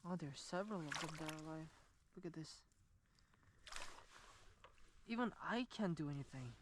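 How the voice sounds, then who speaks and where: A man, an angler talking on the spot outdoors by a lake, sighing and speaking softly to the fish he frees. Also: fairly high pitch, animated, normal speed, noisy background. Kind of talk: on-the-spot vlog commentary.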